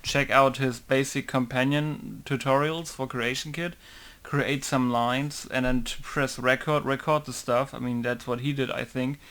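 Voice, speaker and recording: A man's voice: medium pitch, fairly even intonation, measured pace, fairly bright tone. A man casually talking through a game modding tutorial in an informal, rambling way, swearing now and then. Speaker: game modder.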